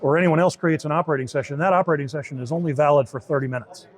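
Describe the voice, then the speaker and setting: A man's voice: fairly high pitch, very fast. A man giving an informal, step-by-step demo and explanation of how something works. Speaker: beta test supervisor giving a product demo.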